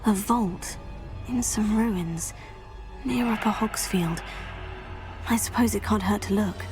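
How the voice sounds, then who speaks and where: A young woman playing an earnest student, speaking in a measured, polite way. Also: medium pitch, natural voice. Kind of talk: video game voice acting. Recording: game audio with music behind.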